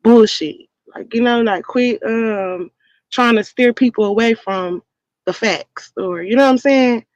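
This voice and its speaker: A woman's voice: on the high side, a little slow, no room sound, highs cut off. A woman of thirty chatting casually on a livestream panel, blunt, slangy and profane. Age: 30s. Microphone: streaming call.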